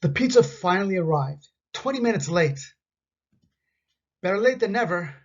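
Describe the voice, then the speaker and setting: A man from Canada with a Canadian English accent, teaching an English grammar lesson in slow, carefully spoken example sentences. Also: sits high, expressive, lo-fi sound.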